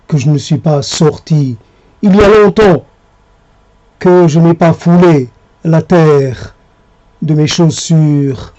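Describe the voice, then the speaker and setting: Guy reciting a poem, pretty high voice, really slow and halting, bit by bit. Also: warm tone, muffled recording. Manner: halting delivery in short, separated phrases. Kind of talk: spoken poetry recitation.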